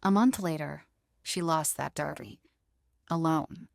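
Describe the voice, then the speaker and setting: A woman narrator delivering scripted romantic drama in a measured, emotive storytelling manner. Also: low-pitched, gravelly, no echo, studio quality.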